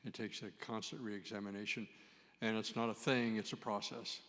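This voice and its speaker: A man's voice: fairly low pitch, steady tone, normal speed, a bit bright, long reverb tail. A man commenting spontaneously from the audience, reflective, making short emphatic statements. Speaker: audience member.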